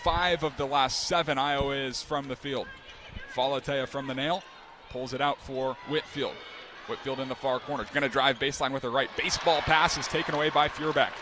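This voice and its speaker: A young man doing live play-by-play, with a quick, crisp call that climbs into excited shouts on big plays. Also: mid-range voice. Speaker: play-by-play announcer. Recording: broadcast with loud arena crowd and noise.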